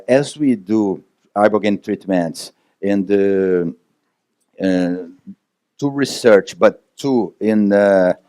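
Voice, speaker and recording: Low-voiced Brazilian man, hesitant. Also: a little mellow, no echo, studio quality. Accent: Brazilian Portuguese. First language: Portuguese. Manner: hesitant, many fillers and restarts. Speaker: physician speaker at a conference. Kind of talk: answering audience questions.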